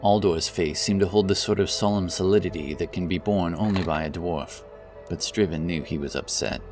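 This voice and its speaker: Casting: deep male storyteller, solemn and dramatic, for scripted fantasy narration over strong music. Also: normal speed, darkish tone.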